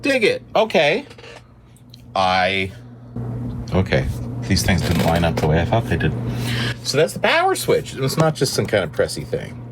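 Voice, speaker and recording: A man thinking aloud in casual, halting, playful commentary while he works hands-on with a machine indoors. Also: sits low, wildly swooping pitch. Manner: halting, muttering to himself, playful. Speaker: vlogger repairing a tape deck. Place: indoors at a repair bench. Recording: clear machine hum and whir.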